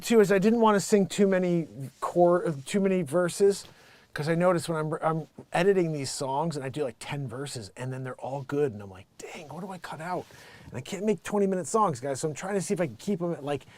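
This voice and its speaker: An American man chatting casually to livestream viewers as a musician host, in an excitable, rambling, hyped-up way. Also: sits high, dry recording. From United States. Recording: loud music behind the voice.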